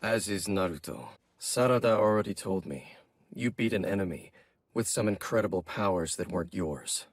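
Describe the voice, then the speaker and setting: Low, stoic anime ninja. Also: male voice, lively intonation, no room sound. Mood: solemn. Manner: measured, serious. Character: stoic, solemn adult male ninja mentor. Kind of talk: anime dub acting.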